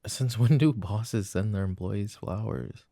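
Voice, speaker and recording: Low, gravelly jealous boyfriend, acted by a man. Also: a little slow, no echo, clean studio sound. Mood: jealous, guarded. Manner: hesitant, earnest, soft-spoken pleading. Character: jealous, insecure but devoted young boyfriend. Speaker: roleplay audio voice actor. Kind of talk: intimate boyfriend roleplay ASMR, acted monologue.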